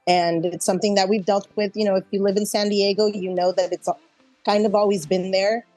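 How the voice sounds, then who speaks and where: A woman's voice: mid-range voice, flat delivery, a little fast, dark tone, no room sound, faint music behind. A middle-aged woman actor chatting casually in an interview, speaking in a reflective, conversational way.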